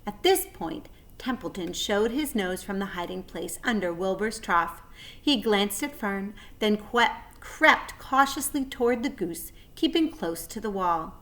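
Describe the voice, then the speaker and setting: A woman narrating a children's story with deliberate, expressive phrasing. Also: mid-range voice. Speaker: read-aloud narrator.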